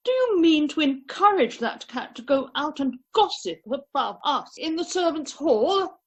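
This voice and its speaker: A woman's voice: high-pitched, very animated, a little slow. A woman playing an indignant upper-class lady guest in a radio play, on muffled video-call audio.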